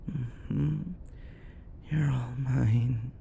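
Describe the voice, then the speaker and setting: A man playing an unhinged, possessive yandere predator lover in ASMR roleplay, often slipping into breathy whispers and a menacing croon. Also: medium pitch, fairly even intonation, drawn-out words, mellow, slight background noise, exaggerated voice. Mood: soothing, possessive.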